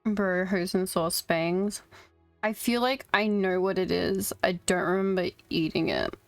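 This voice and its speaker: A woman's voice: lowish voice, steady tone, unhurried, no room sound, faint music behind. A woman streaming a game, giving casual, wry, joking live commentary.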